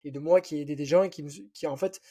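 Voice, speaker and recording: A man's voice: fairly high pitch, racing, warm tone, breathy edge. A young man from southwestern France with a light southern accent, chatting casually as a podcast guest and rambling with lots of fillers. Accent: southwestern French.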